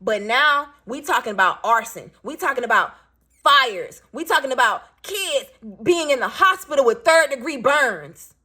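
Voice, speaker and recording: A raspy-voiced woman in her thirties, a single parent venting bluntly and with swearing as she tells a story to her viewers. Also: high-pitched, expressive, normal speed, sharp tone. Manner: blunt, profane, emphatic.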